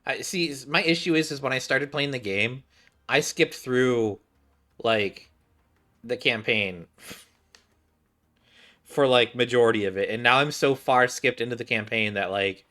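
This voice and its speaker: A man streaming a game with casual commentary, thinking aloud haltingly and repeating himself. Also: medium pitch, bright, forceful tone.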